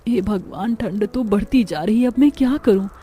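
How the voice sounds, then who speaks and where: A woman's voice: medium pitch, moderate pace, mellow, husky, lightly acted. A woman voicing a poor, overworked mother in a cartoon story, plaintive and melodramatic. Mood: distressed. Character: poor, overworked, long-suffering mother of many daughters.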